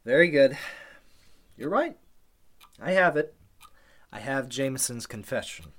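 A man's voice: medium pitch, lively intonation, a little slow, a bit bright. An actor playing a scheming, injured man who puts on protective concern, urgent and persuasive, in an old-time radio play. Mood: smug, menacing. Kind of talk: Golden Age style radio play acting.

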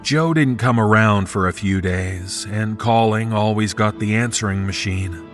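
Slow, low male story narrator over music. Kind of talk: scripted first-person horror story narration with acted dialogue.

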